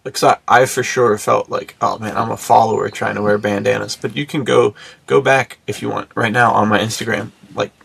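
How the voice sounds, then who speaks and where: Creaky, bright-voiced American man chatting casually. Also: fairly low pitch, fairly even intonation, fairly quick. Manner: slangy, rambling, acts out imagined remarks. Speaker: podcast co-host. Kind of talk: casual chat.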